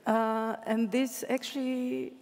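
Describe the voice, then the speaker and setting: A woman's voice: fairly high pitch, level tone, slow pace, a little mellow. A woman moderating a panel discussion, pressing a follow-up point in slightly non-native English. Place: stage of a large hall. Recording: long hall reverb.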